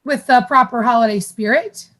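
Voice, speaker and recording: A woman's voice: a little high, moderate pace, fairly bright tone, natural voice. A woman playing a prim, bossy sitcom mother in a radio play, genteel and condescending. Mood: gracious, pointed. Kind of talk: comedic radio play acting.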